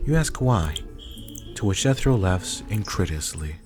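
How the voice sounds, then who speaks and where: A man's voice: sits low, expressive, moderate pace, darkish tone. A man reading scripted narration for an ASMR roleplay story. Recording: clear music behind the voice.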